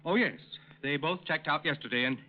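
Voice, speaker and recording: An actor playing a fussy, indignant, stammering hotel manager in 1940s radio drama style. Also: male voice, fairly high pitch, a little fast, natural voice. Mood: flustered. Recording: very muffled old radio recording.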